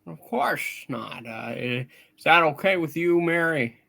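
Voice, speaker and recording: A man plays an eager young small-town dreamer in a radio play. His bright voice takes its time and swings widely in pitch, earnest and theatrical. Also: animated, slow pace, creaky, full character voice. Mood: flustered. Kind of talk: hammed-up live radio play reading.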